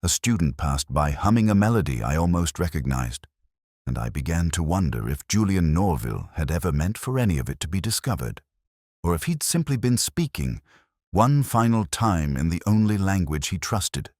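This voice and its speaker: A man narrating a detective story in the first person, in a measured, brooding and somber way. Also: sits low, big pitch swings, a little mellow, dry recording, clean studio sound.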